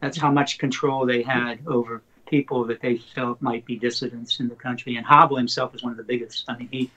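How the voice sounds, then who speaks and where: An older man whose first language is Slovak, telling rambling stories as a poet being interviewed and getting animated in the anecdotes. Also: mid-range voice, fairly quick, poor audio. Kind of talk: conversational storytelling interview.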